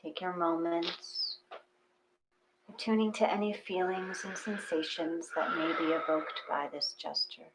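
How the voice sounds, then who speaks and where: Slow, low-pitched woman guiding meditation. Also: steady tone, slight background noise, rough recording. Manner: gentle, unhurried, with long pauses. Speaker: meditation guide. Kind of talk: slow guided meditation reading.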